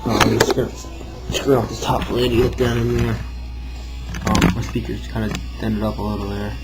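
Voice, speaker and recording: Low-voiced guy, casual demo. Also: little pitch movement, normal speed, loud music behind the voice, poor audio. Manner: informal, rambling, self-deprecating. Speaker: hobbyist builder showing a homemade project. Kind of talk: casual off-the-cuff demo narration.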